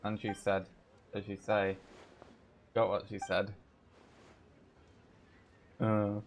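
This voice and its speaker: A man's voice: on the low side, monotone, slow pace, gravelly, a little hiss or hum. A man doing casual live gameplay commentary as a streamer, reacting aloud and muttering to himself. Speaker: game streamer.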